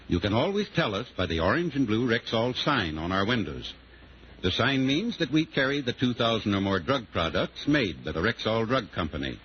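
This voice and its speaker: Sincere american druggist pitching on old radio ad, lively tone, muffled with faint hiss. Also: male voice, normal speed. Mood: earnest. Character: earnest, dependable neighborhood pharmacist, sponsor spokesman. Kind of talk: 1940s radio commercial, scripted. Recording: band-limited, faintly hissy 1940s radio recording.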